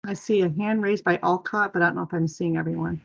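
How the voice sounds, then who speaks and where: A woman giving a measured, explanatory semi-formal presentation as agency staff on a muffled conference call. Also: fairly low pitch, steady tone, vocal fry.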